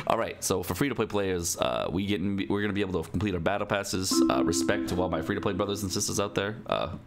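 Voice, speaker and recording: A man's voice: low-pitched, huge pitch swings, fairly quick, gravelly, music in the background. A young man commentating casually on a game livestream, reading aloud and reacting in informal, swear-prone slang. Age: young adult. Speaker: streamer. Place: indoor room at a computer, livestream.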